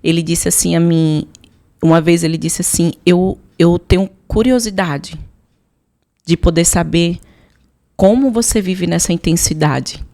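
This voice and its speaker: Low-pitched Brazilian woman giving testimony. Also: unhurried, mellow. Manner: emphatic storytelling, repeating phrases and acting out prayers. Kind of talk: personal testimony in a podcast conversation.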